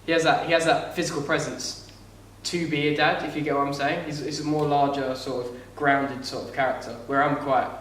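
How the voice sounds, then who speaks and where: A man's voice: flat delivery, fairly bright tone, slight background noise. Light-voiced young man. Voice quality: light, higher voice than most men. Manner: rambling, halting, with frequent restarts. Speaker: podcast co-host, actor. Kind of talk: casual chat. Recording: echoey room, mic a bit far off.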